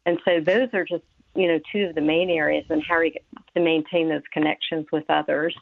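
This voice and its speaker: A woman's voice: sits low, fairly even intonation, brisk, vocal fry, no echo. Radio guest, woman therapist, earnest explainer, thin phone-line sound, dry. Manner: earnest, explanatory, many fillers. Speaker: mental health professional, guest. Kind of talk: radio interview.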